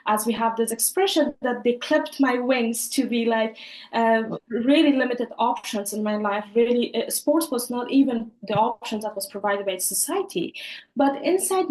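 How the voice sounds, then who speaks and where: A woman's voice: a little high, darkish tone, reverberant, low-quality recording. A young woman from Afghanistan who grew up in Pakistan, answering interview questions earnestly, with many fillers and restarts. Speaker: podcast guest, Olympic judoka.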